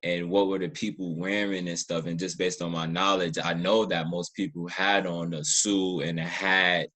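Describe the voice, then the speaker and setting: A man's voice: low-pitched, level tone, moderate pace, pushed and bright. A man hosting a casual interview, talking informally and searching for words as he shares his thoughts. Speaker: podcast host, interviewer.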